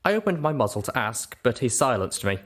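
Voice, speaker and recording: A man's voice: medium pitch, sing-song, a little fast, fairly bright tone. A man narrating a first-person story in audiobook style.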